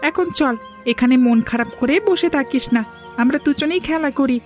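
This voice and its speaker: A woman's voice: high-pitched, moderate pace, music in the background, natural voice. A woman voicing a protective young village boy in a cartoon, an earnest, consoling elder brother. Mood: reassuring. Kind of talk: cartoon voice acting.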